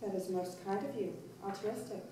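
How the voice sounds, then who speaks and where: Very echoey, mellow, woman acting as elderly female soothsayer, grave, commanding, deliberate, stage drama. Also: medium pitch, lightly acted. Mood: solemn questioning. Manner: formal, deliberate, hypnotic. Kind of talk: staged play reading. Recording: echoey room, distant mic, faint noise.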